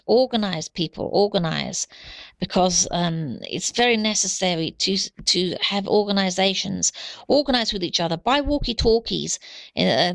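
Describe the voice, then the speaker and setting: A woman giving an unscripted, earnest monologue of exhortation, halting and repeating herself as she goes. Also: medium pitch, vocal fry, fairly bright tone, dry recording.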